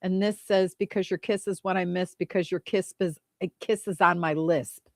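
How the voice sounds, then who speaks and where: A woman's voice: lowish voice, steady tone, moderate pace, vocal fry, a little mellow, no echo, clean studio sound. A middle-aged woman co-hosting a radio show, telling funny stories, laughing and breaking into bits of song. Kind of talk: casual chat, storytelling.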